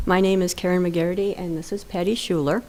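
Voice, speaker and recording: Soft-spoken woman with a mellow low voice. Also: fairly even intonation, quick pace. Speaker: grant program presenter. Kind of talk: public meeting presentation. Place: town council chamber. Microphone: podium microphone.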